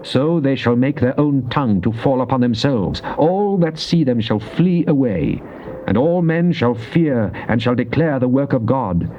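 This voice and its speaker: An actor playing a devout, fervent psalmist, declaiming in a solemn, measured way in a dramatized scripture reading. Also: male voice, medium pitch, lively intonation, warm tone, lightly acted. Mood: pleading, grave. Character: devout psalmist, fervent man praying to God. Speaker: scripture reader. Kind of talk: dramatized King James Bible reading. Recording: muffled old cassette with faint hiss.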